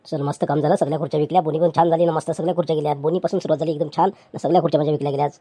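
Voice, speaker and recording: A woman's voice: deep voice, fairly even intonation, racing, mellow, breathy edge, dry recording. A woman voicing a poor, meek male chair seller who pleads and placates with stammering restarts. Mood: placating.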